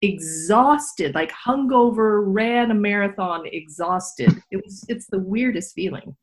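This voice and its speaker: A woman co-hosting a casual podcast, telling stories in an animated way with lots of "like". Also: medium pitch, a little slow. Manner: animated storytelling, filler "like". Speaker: podcast co-host.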